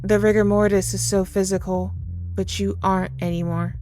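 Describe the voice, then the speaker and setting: A woman playing a gentle, sorrowful Grim Reaper in an ASMR audio roleplay, soft-spoken and tender as she reassures the listener. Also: mid-range voice, little pitch movement, moderate pace, soft background music, close to an everyday voice. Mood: comforting, wistful. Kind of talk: ASMR audio roleplay, intimate comforting monologue.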